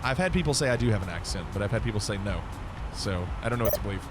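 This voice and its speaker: A man's voice: fairly low pitch, animated, very fast. Guy streaming flight sim, chatty, answers chat, noisy hum behind him. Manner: chatty, reads and answers viewer chat between radio calls. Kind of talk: casual live-stream chat.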